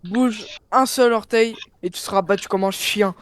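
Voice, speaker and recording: A man with a notably high-pitched voice plays a role in an online game. He improvises his lines in character as he goes. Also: normal speed. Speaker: role-play gamer.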